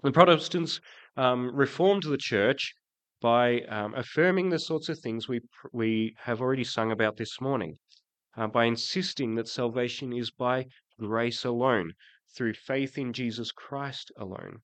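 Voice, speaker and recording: A man getting over a cold preaches from the pulpit, his voice a little croaky. He speaks in a halting, casual way, full of ums and restarts, at a middling pitch. The sound is close and dry, with no room echo. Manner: hesitant, many ums and restarts. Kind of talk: sermon with prayer. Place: church.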